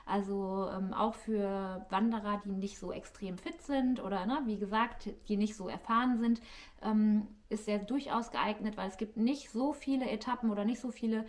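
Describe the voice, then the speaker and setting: A woman's voice: mid-range voice, steady tone, normal speed. A woman giving a casual, friendly explainer as a vlogger, searching for her words as she speaks directly to viewers. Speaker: YouTube vlogger. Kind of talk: casual informal explainer to camera. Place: living room.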